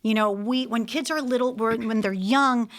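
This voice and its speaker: Woman, animated studio guest. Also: fairly high pitch, moderate pace. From Puerto Rico. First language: Spanish. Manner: animated, gestures while talking, frequent restarts. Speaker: language school director and teacher. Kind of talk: casual interview talk. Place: TV studio set. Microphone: studio boom mic.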